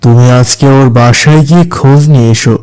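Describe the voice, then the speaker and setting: A man's voice: mid-range voice, fairly even intonation, brisk, a little mellow, clean tone, close to an everyday voice, rough recording. A man playing a kindly, attentive religious teacher of young boys in a children's cartoon. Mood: encouraging, inquiring. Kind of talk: children's moral cartoon dialogue.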